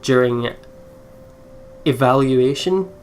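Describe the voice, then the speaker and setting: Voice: mid-range voice, takes it slowly, a little hiss or hum. A gamer doing casual let's play commentary, swearing and stumbling while reading visual novel narration aloud.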